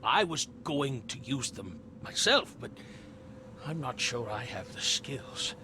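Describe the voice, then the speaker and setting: An actor playing a grave, hesitant occult sorcerer in a video game, speaking in a guarded, weary way. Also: male voice, medium pitch, big pitch swings, slow, sharp tone, slight background noise, heavily acted. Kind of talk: video game dialogue acting.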